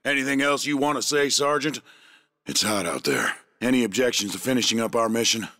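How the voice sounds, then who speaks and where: A man voicing a ruthless, vengeful soldier villain in a video game, with a gruff, gravelly delivery that is menacing and commanding. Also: mid-range voice, expressive, a little fast, bright, forceful tone. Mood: dry, wry.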